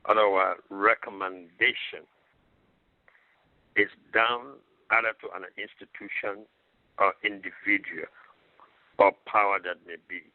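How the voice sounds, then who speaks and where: A man guest on a radio show, explaining in a deliberate, lecturing way with measured pauses. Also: fairly low pitch, steady tone, no echo. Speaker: guest, religious leader. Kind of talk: radio interview answers. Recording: tinny phone line.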